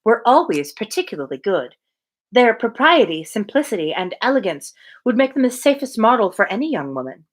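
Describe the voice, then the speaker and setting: A woman reading a novel aloud as a poised, politely reserved young gentlewoman with a measured, courteous manner. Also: on the high side, big pitch swings. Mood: cool, polite.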